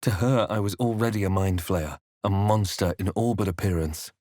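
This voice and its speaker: Man voicing a low, measured fantasy game adventurer. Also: darkish tone, no echo, clean studio sound, lightly acted. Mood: grave, intent. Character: male fantasy adventurer hero, measured, wary, quietly wry. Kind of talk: video game voice lines, dramatic narration and muttered asides.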